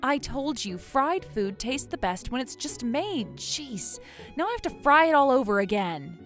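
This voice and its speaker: Casting: stubborn college girl played by a woman, snappy and bickering, slightly high, very animated, light music bed. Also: a little slow. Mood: irritated. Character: feisty, stubborn university girl, bickering childhood friend. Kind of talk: manga dub acting.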